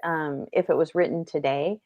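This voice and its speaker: Low-voiced woman discussing books. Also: steady tone, moderate pace, a little mellow, muffled recording. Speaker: book discussion panelist. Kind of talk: casual book discussion.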